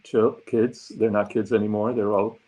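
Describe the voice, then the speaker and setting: A man in his sixties from Argentina, whose first language is Spanish, speaks as an interview guest from his home office. His voice is fairly low and a little husky. He talks thoughtfully, often pausing on ums and uhs. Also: flat delivery, dark tone, muffled recording. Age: 60s. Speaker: guest, consultant. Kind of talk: conversational interview answers.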